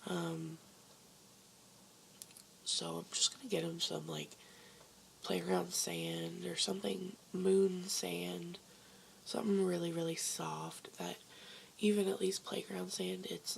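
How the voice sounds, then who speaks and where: A woman vlogger with a hoarse voice, chatting casually and rambling with lots of ums from her basement bedroom. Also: fairly low pitch, little pitch movement, slow, pauses often, slight background noise. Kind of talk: casual chat to camera.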